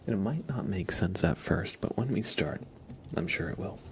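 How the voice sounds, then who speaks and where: A man hosting an ASMR livestream, speaking in a breathy whisper and murmur, informally chatting and gently guiding his listeners. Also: deep voice, expressive, moderate pace, noisy background.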